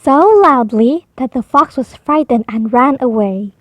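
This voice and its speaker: Slow, high-voiced woman reading a children's story. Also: no echo. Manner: deliberate, simple phrasing for small children. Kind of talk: scripted read-aloud for young children.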